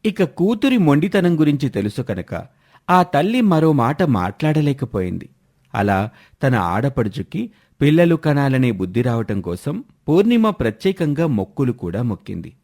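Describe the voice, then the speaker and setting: A man's voice: mid-range voice, very animated, normal speed, a little mellow. Man narrating a scripted story.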